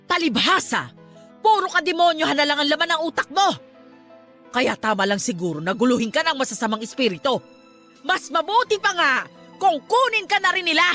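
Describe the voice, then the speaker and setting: A stern, devout aunt in a radio drama , played by a woman. Her voice is high and swoops expressively with emotion. She speaks emphatically to her nephew, over a music bed. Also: bright, forceful tone, heavily acted. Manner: emphatic, emotional, scolding and pleading. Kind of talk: Tagalog radio drama acting.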